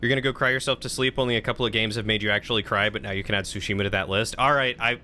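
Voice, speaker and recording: Bright-voiced man streaming games, chatty. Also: fairly low pitch, steady tone, rapid-fire, a little hiss or hum. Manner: chatty, informal, playful. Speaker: live game streamer. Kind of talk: casual gameplay commentary and chat.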